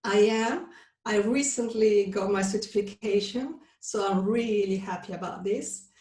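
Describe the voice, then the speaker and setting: A woman's voice: mid-range voice, unhurried, darkish tone, far from the mic. A woman in her forties from Switzerland with a Swiss Italian accent, a guest on a podcast who speaks friendly and sometimes searches for words. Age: 40s. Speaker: guest, face yoga instructor. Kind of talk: casual podcast interview. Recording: roomy sound, voice away from the mic, faint music.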